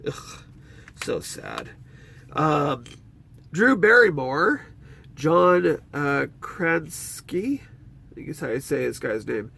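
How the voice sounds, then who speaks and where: A man with a congested, stuffy nose rambles through his movie collection, his pitch jumping all over the place. He talks slowly, with a gravelly edge in his voice. Faint background noise sits under his voice. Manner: chatty, rambling, lively swings in pitch. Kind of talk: casual collection walkthrough.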